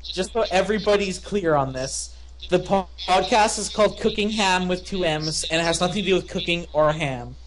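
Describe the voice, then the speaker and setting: Loud man hosting a stream, noisy mic. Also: high voice, normal speed. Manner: loud, announcing to stream viewers. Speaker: podcast host. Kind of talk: casual livestream banter.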